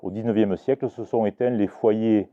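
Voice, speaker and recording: Male lecturer, lowish voice, halting with restarts, tinny phone-like recording. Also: steady tone, dark tone. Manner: hesitant, many restarts and fillers. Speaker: Occitan teacher giving a talk. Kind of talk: live lecture to an audience. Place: book fair talk.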